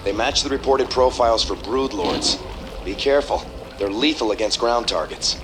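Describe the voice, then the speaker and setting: An actor playing an earnest, dutiful starship officer, briefing in a formal military manner. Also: male voice, medium pitch, animated, moderate pace, lightly acted. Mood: urgent. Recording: clear engine and battle noise behind.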